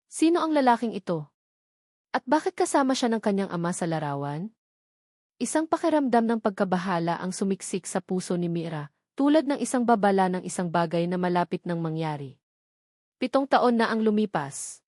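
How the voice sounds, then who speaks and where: A woman narrates a scripted audio drama in a storytelling style. Her voice sits at a middle pitch. The sound is dry, with little room around it. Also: lively intonation, moderate pace, a little mellow, dry recording.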